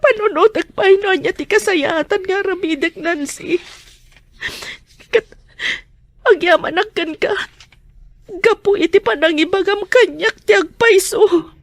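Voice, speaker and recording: A woman's voice: extremely high pitch, a little slow, dry recording. A woman acting a sorrowful, betrayed wife in a radio drama, plaintive and hesitant, with an Ilocano accent. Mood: sad, resigned. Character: Roxanne, sorrowful betrayed wife, meek and pleading.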